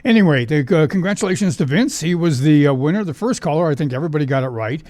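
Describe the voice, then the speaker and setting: Chatty male radio host, fairly high voice. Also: animated, fast talker, darkish tone, no room sound. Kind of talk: casual oldies radio trivia show. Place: radio studio.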